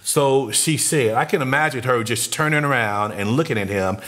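Middle-aged male pastor, earnest sermon delivery, average pitch, desk camera in a small office. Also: a bit bright. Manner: earnest, speeds up and slows down for emphasis, gestures while talking. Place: small church office with posters, indoors.

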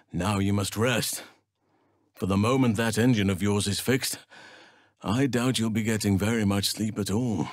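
A man voicing a stoic, earnest druid devoted to nature in a video game, speaking in measured, formal fantasy phrasing. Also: lowish voice, dry recording, studio quality. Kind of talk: video game character voice lines.

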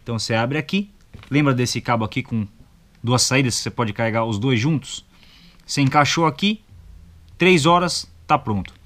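Young adult man, Brazilian accent, medium pitch, casual product demo, colloquial, instructive, short check-in tags. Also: moderate pace, fairly bright tone. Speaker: product reviewer. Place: motorcycle gear shop, indoors.

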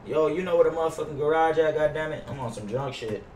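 A man's voice: a little high, quick pace, darkish tone, recorded from a distance. Young american guy streaming a game, brash slangy cussing, echoey room. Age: young adult. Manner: brash, slangy, heavy swearing. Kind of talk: casual gaming livestream chat with role-play banter. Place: indoor room at streaming setup. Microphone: gaming headset. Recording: roomy, off-mic, faint noise.

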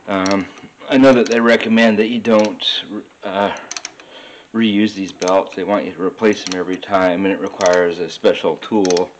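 A man working as a mechanic, talking through an engine job step by step in a garage workshop, with lots of filler "uh"s. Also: deep voice. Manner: unhurried, many filler "uh"s, explains step by step. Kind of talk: garage how-to narration. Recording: echoey garage with background noise.

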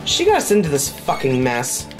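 A woman's voice: low voice, huge pitch swings, normal speed, music in the background. A woman co-hosting a gaming show, bantering casually and sardonically as she watches the game. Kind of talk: casual banter over video game play.

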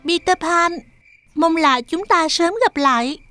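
A woman playing a polite, gentle girl in a cartoon fairy tale dub. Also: very high voice, unhurried, over music. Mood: gentle, grateful.

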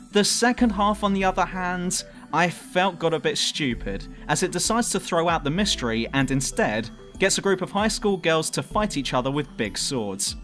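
A man's voice: a little high, fairly bright tone. A man reading a scripted countdown review as host, with a cheeky, irreverent manner. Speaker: host and reviewer of a top ten list show.

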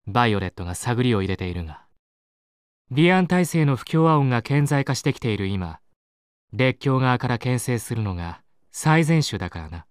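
A medium-pitched male voice from an actor as a calculating game strategist. He is clipped and authoritative, giving cool orders in a dry, close recording. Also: sing-song, normal speed, smooth, dry recording, close to an everyday voice. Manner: clipped, matter-of-fact, authoritative. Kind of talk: Japanese video game voice acting.

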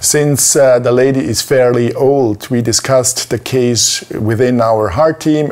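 A man's voice: medium pitch, darkish tone. A middle-aged man with a Swiss German accent, presenting a medical case to camera as a surgeon. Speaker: cardiac surgeon. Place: small indoor room in a clinic. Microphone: lapel mic.

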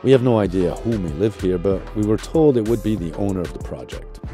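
A man narrating his sailing vlog in a calm, reflective voice-over. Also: fairly low pitch, fairly quick, over music.

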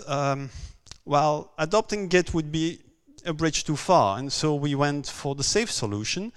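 A man's voice: a little high, clear, resonant tone. Belgian man giving a hesitant conference talk. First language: not English. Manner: hesitant, many "um" and repeated words. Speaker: conference speaker. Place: conference room on a stage.

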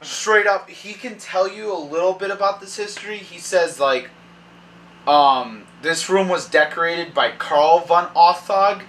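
A young man narrating as dungeon master at a kitchen table, reading room descriptions haltingly and thinking aloud. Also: sits high, a bit bright, slight background noise. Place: dim home kitchen at a table. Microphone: far camera.

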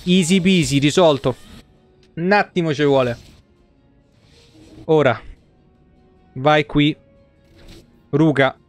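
High-pitched man streaming a game. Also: slow pace, pauses often, soft background music. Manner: foul-mouthed, slangy, jokey. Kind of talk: casual live game commentary.

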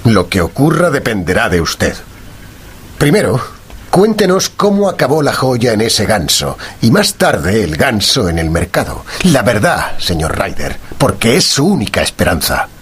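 Radio drama detective: stern and sardonic, very husky, with a widely swinging delivery. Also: male voice, mid-range voice, huge pitch swings, exaggerated voice. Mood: commanding. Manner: commanding, measured, sardonic. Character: stern, sardonic male detective questioning a culprit.

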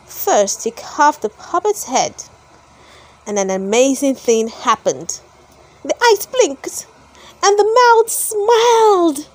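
Very animated high-voiced woman reading a storybook. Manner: slow, deliberate, animated storytelling for children. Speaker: storybook reader. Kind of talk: expressive read-aloud of a children's story.

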